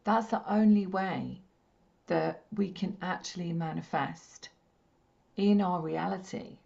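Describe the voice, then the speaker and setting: Lady with a croaky throat, real slow and low, gentle soothing healer talk. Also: steady tone. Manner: gentle, repetitive, soothing delivery. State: slightly croaky, hoarse throat. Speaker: spiritual healer leading a live session. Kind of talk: slow meditative teaching talk. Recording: dull lo-fi stream audio.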